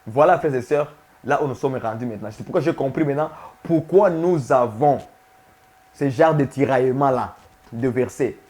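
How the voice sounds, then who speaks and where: A man's voice: medium pitch, moderate pace, darkish tone. A man preaching a sermon, emphatic and repetitive, often putting questions to his listeners. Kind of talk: sermon teaching to viewers.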